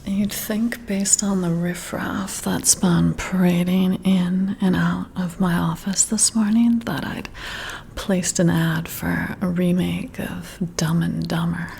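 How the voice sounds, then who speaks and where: A woman playing a flirty, confident female boss in a breathy, whispered ASMR roleplay, teasing and coy with deliberate, drawn-out phrasing. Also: on the low side, flat delivery, darkish tone, slight background noise. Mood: wry, amused.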